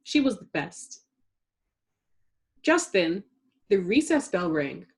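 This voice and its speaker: Very animated woman reading a children's story. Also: medium pitch, slow pace. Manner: dramatic emphasis, words stretched for suspense. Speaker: storybook reader. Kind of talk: expressive children's story narration.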